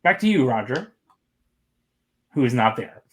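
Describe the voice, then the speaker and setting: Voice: mid-range voice, very animated, measured pace. A podcast host with a joking, teasing way of talking, bantering casually about sports.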